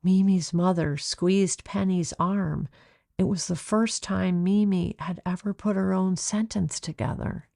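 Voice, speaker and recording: A woman narrating a children's storybook in a measured, expressive way, like an author reading aloud. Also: fairly low pitch, vocal fry, no room sound, studio quality.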